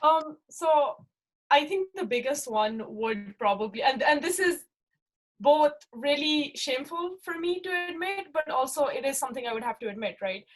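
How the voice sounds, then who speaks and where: A woman's voice: high voice, expressive, normal speed, poor audio. A young woman from Pakistan with a light Pakistani accent, reflecting candidly in a casual talk and often asking "right?" as she thinks aloud. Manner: reflective, with many fillers and rhetorical "right?". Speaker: interviewee. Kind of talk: casual personal account.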